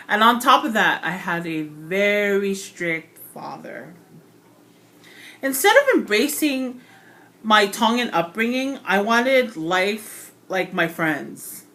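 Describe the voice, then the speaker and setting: A woman from Hawaii delivers a prepared class speech at an unhurried, deliberate pace. She sounds earnest and reflective, with pauses and small self-corrections, and her voice sits at an ordinary middle pitch. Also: fairly bright tone. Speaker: student giving a class speech.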